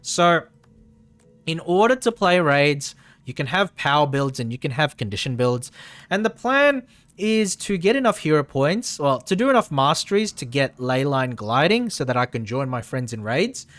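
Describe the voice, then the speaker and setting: Male live streamer, high and swooping pitch, slightly strained voice, chatty game commentary with repeated phrases. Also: very animated, moderate pace, a bit bright, no room sound. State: tired, strained voice.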